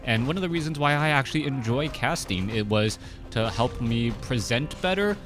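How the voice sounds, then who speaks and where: A man in his thirties commentates a strategy game in a casual, halting way, full of fillers and restarted phrases. His voice sits at a middle pitch. Faint music plays behind him. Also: lively intonation. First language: Cantonese. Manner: hesitant, many fillers and restarts. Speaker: game caster.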